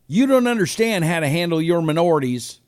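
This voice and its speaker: A man's voice: on the high side, lively intonation, brisk, darkish tone, no echo, clean studio sound, lightly acted. A man retelling a conversation as a heated, preachy young Romanian man, insistent and accusatory. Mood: indignant, insistent.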